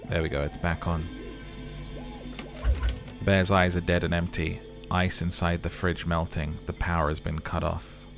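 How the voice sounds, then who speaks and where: A man's voice: sits low, little pitch movement, moderate pace, gravelly, over music. A man reading game text aloud and chatting through a let's play, musing as he goes. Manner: chatty, musing aloud between narrated passages. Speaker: gaming commentator.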